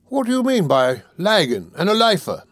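A man's voice: sits high, huge pitch swings, normal speed, fairly bright tone, dry recording, studio quality, voice pushed up, exaggerated voice. A man voicing a blunt, irritable fellow in a storybook reading, demanding and raising his voice. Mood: irritated.

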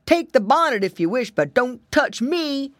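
A woman's voice: high voice, sing-song, measured pace, no room sound, studio quality, pitched above the performer's own voice. A woman voicing a hungry, bad old male wolf in a children's storybook, growly and menacing. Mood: angry.

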